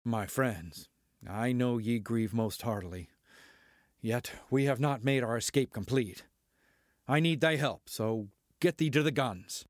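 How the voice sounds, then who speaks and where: An actor playing a cocky, boastful starship pilot, swaggering through archaic verse in an audio drama. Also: male voice, mid-range voice, a little slow, no room sound, studio quality, close to an everyday voice. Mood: urgent, commanding.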